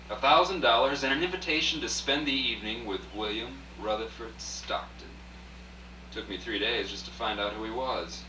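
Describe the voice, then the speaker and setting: A man's voice: medium pitch, sharp tone. An actor playing a broke freelance journalist, telling his tale dryly in hard-boiled radio drama narration, recorded at a rehearsal. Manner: dry, wry storytelling. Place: echoey room at a dress rehearsal. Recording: echoey, distant, noisy old video rehearsal recording.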